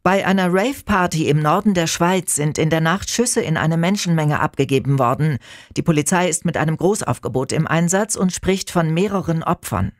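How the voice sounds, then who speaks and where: A woman's voice: low-pitched, normal speed, no room sound. A woman reading scripted radio news as an anchor, close to a studio microphone.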